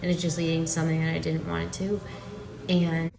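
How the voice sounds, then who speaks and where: A woman's voice: sits low, monotone, fairly quick, vocal fry, a crowd in the background. A nineteen-year-old woman carefully answering personal questions. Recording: echoey room with background noise.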